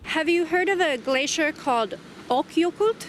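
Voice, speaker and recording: High-voiced street interviewer outdoors. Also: expressive, moderate pace, a bit bright, a little hiss or hum. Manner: brief, repetitive questioning. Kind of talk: vox pop questions.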